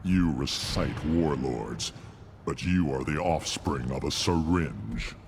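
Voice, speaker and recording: Contemptuous alien rival, low, slow, declamatory, haughty, long reverb, game acting. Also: male voice, vocal fry, exaggerated voice. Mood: scornful. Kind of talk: video game character acting.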